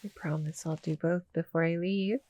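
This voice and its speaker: A woman voicing a small, sweet female cuddle monster in an intimate ASMR roleplay, soft and breathy, often near a whisper, gentle and a little hesitant. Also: lowish voice, steady tone, normal speed, lightly acted. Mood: hesitant, explaining. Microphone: close, dry studio-style mic.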